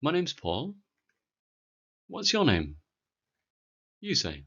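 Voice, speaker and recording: A British man in his forties teaching a beginner English lesson, speaking clearly and deliberately for learners. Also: fairly high pitch, wildly swooping pitch, bright, forceful tone, lo-fi sound. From Britain. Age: 40s.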